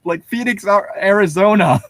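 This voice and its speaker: A man's voice: high voice, moderate pace, a bit bright, no echo. A young adult man co-hosting a comedic fan fiction reading, joking casually, giggly, incredulous and prone to swearing. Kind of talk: casual, joking commentary and read-aloud.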